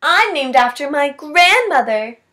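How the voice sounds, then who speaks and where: A woman's voice: high-pitched, sing-song, unhurried, sharp tone, heavily acted. A woman voicing a smug, teasing little schoolgirl in a storybook, speaking emphatically. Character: snooty, teasing little schoolgirl, a know-it-all.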